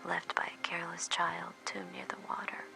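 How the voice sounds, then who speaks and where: An actress playing a bereft captive mother, narrating her thoughts in a breathy whisper for an audio drama. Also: on the low side, monotone, a little fast, low-quality recording. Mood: desolate. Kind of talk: dramatic first-person narration.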